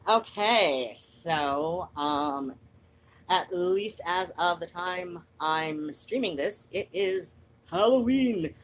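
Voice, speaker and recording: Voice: mid-range voice, animated, drawn-out words, slight background noise. A streamer chatting casually to viewers in a rambling, digressive way.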